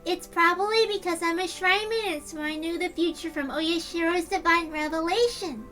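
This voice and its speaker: A woman's voice: very high voice, moderate pace, pushed and bright, soft background music, pitched above the performer's own voice. A woman voicing a sly, teasing little shrine maiden girl in a visual novel. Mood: matter-of-fact.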